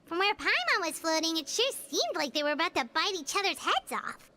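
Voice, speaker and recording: A woman's voice: extremely high pitch, sing-song, brisk, noisy background. A woman voicing a tiny, bubbly floating companion in a video game who chatters and talks about herself by name. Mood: amused.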